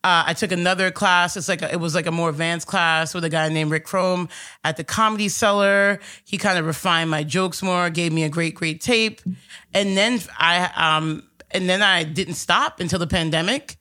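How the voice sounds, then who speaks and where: A woman stand-up comedian from New with a deep, raspy voice, chatting casually in an interview with lots of fillers and restarts. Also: moderate pace, bright, forceful tone. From Astoria, New York. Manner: rambling, many fillers and restarts.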